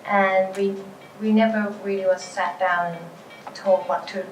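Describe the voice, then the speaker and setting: A woman's voice: mid-range voice, level tone, a little slow. A young woman from Thailand, native Thai speaker, plainly describing her English schooling in an interview clip. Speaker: international student. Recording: distant roomy recording with music.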